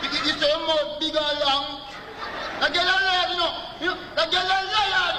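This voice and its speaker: A man's voice: very high-pitched, steady tone, moderate pace, far from the mic. A man playing a mouthy, taunting Jamaican sidekick in a stage farce, mocking and repeating himself in a noisy hall. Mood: scornful. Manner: mocking, repetitive. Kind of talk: broad stage farce in Patois. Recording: very noisy, reverberant theatre hall.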